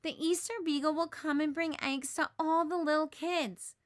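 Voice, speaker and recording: A woman voicing an earnest, hopeful, excitable little boy in a storybook. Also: high-pitched, moderate pace, voice pushed up, natural voice. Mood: reassuring.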